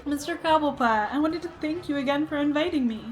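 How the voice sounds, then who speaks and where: A woman playing an outspoken, skeptical reporter in a radio drama, forthright and sharp-tongued. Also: high-pitched, quick pace, close to an everyday voice, poor audio. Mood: poised, skeptical. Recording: music behind.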